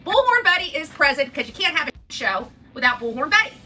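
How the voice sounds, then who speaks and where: A woman's voice: high voice, sing-song, quick pace, sharp tone, faint music behind. A woman content creator in an informal, unscripted rant to her audience, combative and emphatic, often repeating herself. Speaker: online video content creator.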